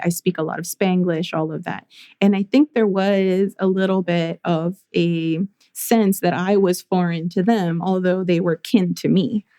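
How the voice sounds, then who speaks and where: A young adult woman from the United States, a therapist speaking as a podcast guest in a thoughtful, measured way with some restarts. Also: fairly low pitch, mellow, dry recording, clean studio sound. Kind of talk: reflective interview conversation.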